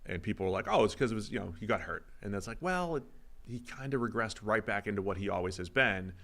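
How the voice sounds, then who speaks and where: A man's voice: on the low side, animated, quick pace, a bit bright. A man co-hosting a sports podcast, thinking aloud through football analysis in a loose, conversational way. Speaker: co-host. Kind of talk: casual sports analysis chat.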